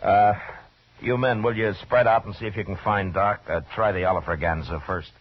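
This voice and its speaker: An actor playing a steady, authoritative Old West marshal in a 1950s radio western, measured and plain-spoken. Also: male voice, fairly low pitch, lightly acted. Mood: urgent, commanding. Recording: noisy, muffled old radio recording.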